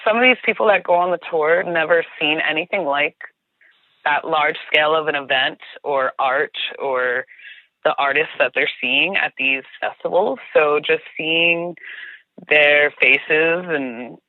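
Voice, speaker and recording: A woman's voice: sits low, unhurried, no room sound. Woman guest, New Jersey accent, rambling and upbeat, recorded over a tinny phone line. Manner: chatty, restarts often, says "like" a lot. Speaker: guest, tour guide. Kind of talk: casual podcast chat.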